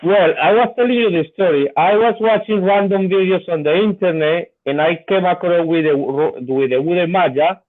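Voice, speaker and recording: A Colombian man, a native Spanish speaker with a Colombian Spanish accent, joining as a guest caller, eager and halting, often repeating words. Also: sits high. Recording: tinny phone-like call line.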